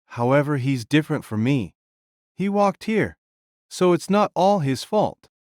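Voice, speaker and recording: Man acting jovial giant king, audio drama, hearty, easygoing, lively intonation, dry recording. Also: fairly high pitch, unhurried, no echo. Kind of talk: audio drama character reading.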